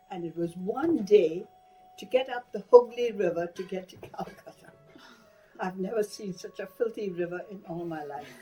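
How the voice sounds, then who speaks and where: An older woman from Burma shares memories in an interview. Her voice sits a little low and is soft-edged and mellow. She tells it plainly and with emphasis, over faint music. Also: slightly rough, poor audio. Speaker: interviewee recalling wartime life. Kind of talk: personal reminiscence.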